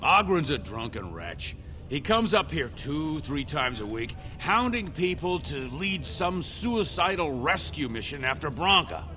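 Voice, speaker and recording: A scornful dwarf gossip in a fantasy video game. His voice is sharp and bright, very animated, with music faintly behind it. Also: on the high side, measured pace, heavily acted. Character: disdainful, gossiping dwarf citizen. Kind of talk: scripted video game dialogue.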